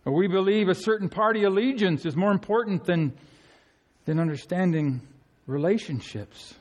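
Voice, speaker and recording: A male pastor preaching an earnest sermon, his voice climbing high to stress key phrases. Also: moderate pace, a little mellow. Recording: long hall reverb.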